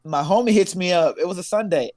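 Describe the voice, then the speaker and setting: A young man with a slightly raised pitch tells stories on a casual podcast. He is from Tanzania and talks in a loose, slangy way, laughing often between lines. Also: fast, darkish tone, no room sound, studio quality. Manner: laid-back storytelling, laughs often, slangy. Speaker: podcast co-host. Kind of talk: casual chat.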